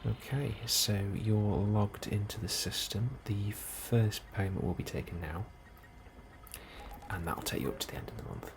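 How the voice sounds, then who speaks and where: A man in a soft, hushed ASMR role-play, speaking calmly and unhurriedly as a helpful colony immigration officer, close to the microphone. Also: fairly low pitch. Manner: hushed, unhurried, sometimes dropping into whisper. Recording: clearly audible background ambience noise.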